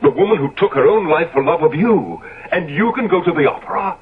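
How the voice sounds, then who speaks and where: A man's voice: a little high, lively intonation, brisk, natural voice. Radio drama: male actor as appalled upright friend, grave, reproachful, wide-ranging intonation, thin vintage recording. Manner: solemn, reproachful. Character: grave, indignant gentleman, an appalled moral friend. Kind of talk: 1970s radio drama acting. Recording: tinny old radio recording.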